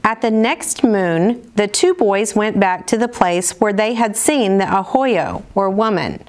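A middle-aged woman with an American accent reads aloud as a storyteller for children, in a measured, instructional manner with careful enunciation. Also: medium pitch, animated. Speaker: storyteller, school program director. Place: indoors, seated at table before dark curtain.

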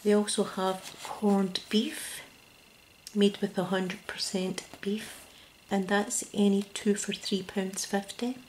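A Scottish woman speaking ASMR in a soft, gentle whisper close to the mic, at a table indoors. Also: mid-range voice, flat delivery, slow pace, a little hiss or hum, poor audio. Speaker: ASMR creator.

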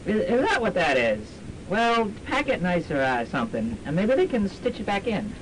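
A man's voice: big pitch swings, normal speed, poor audio. A man playing a rambling, wounded professor who stammers and mumbles understated asides in a campy college radio drama. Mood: feeble, unbothered. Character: wounded, rambling male professor, cheerfully understated about gore. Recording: amateur 1990s student radio, faint rumbling noise.

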